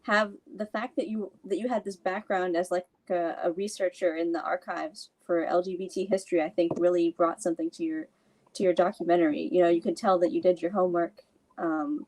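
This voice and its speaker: A woman's voice: lowish voice, fairly even intonation, fairly quick, darkish tone. A woman co-moderating a discussion, offering casual, somewhat hesitant conversational comments.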